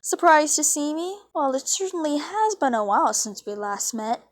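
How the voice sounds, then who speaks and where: A woman's voice: high-pitched, very animated, moderate pace, voice pushed up. A young woman voicing a mocking, theatrical male chaos creature from a cartoon fan story. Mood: smug, taunting. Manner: mocking, mock-innocent, theatrical. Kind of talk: amateur read-aloud of cartoon fan fiction.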